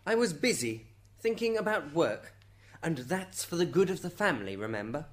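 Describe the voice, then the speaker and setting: A man playing a self-justifying husband and father on the witness stand in a radio drama, defensive and quick to blame. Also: a little high, big pitch swings. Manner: defensive, blaming.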